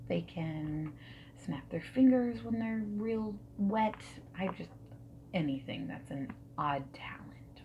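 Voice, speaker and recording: A woman speaking softly and breathily in an ASMR roleplay, often slipping into whispers as she asks gentle, reassuring questions like a friendly interviewer. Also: fairly low pitch, creaky, muffled recording. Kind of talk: soft-spoken ASMR roleplay. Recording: steady noise, keyboard typing and clicks. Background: mechanical keyboard typing and clicks.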